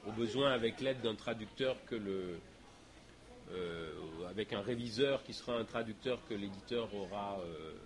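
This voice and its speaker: A man's voice: on the low side, normal speed. A man, speaking French as his first language, answers audience questions after a lecture in a hall, thinking aloud with many fillers and restarts. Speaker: guest speaker.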